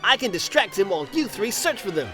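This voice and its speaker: Man playing a lively, cocky young hero hedgehog. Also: sits high, fast talker, music in the background. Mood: confident. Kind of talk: fan audio drama acting.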